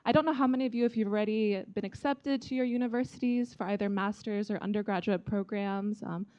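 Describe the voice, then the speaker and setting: Young american lady giving a friendly casual talk to students, soft mellow tone, bit lo-fi sound. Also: mid-range voice, fast, smooth. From China. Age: young adult. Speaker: embassy vice consul presenting. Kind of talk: informal presentation to students. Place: event talk before an audience.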